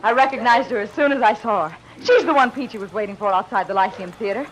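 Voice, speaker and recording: An actress playing a scheming, edgy woman in a 1940s radio detective drama, brisk and dramatic. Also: on the high side, lively intonation, lightly acted. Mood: triumphant. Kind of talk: 1940s American radio acting. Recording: noisy old radio recording.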